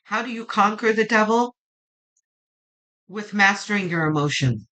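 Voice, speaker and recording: A woman with a low voice that turns gravelly when quiet, slowly and reassuringly reading tarot cards and thinking aloud. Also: lively intonation, poor audio. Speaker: tarot reader.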